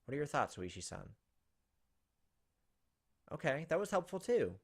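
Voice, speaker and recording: A man's voice: a little high, sing-song, measured pace, creaky, husky. A young man reading game text aloud and commenting casually as a let's play host. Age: young adult. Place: indoors at a computer, webcam.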